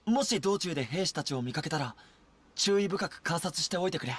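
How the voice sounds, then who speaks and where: An earnest young commander from an anime game. His voice is husky and a little high, and he speaks quickly with serious, concerned authority. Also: expressive, no room sound. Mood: earnest, commanding. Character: young male army general, earnest and concerned. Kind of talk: Japanese anime game voice acting.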